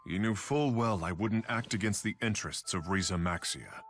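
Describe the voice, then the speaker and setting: A man plays a dignified king from a video game. His voice is deep and his delivery serious, measured and guarded. Music sounds clearly behind him. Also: close to an everyday voice. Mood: grave. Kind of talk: video game cutscene voice acting. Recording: music under the voice.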